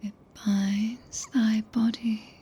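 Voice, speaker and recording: A woman with a British accent playing a regal, possessive witch in whispered ASMR roleplay, speaking archaically and imperiously with long pauses, close to the microphone. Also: on the high side, level tone, mellow, heavily acted. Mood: solemn, possessive. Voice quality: breathy, whispered. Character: regal, possessive witch, cool yet tenderly commanding. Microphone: close binaural ASMR microphone.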